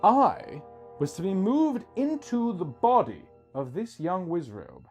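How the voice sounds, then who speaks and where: Husky man narrating, lively pitch swings. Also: slow pace, lo-fi sound, soft background music. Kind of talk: scripted first-person story narration.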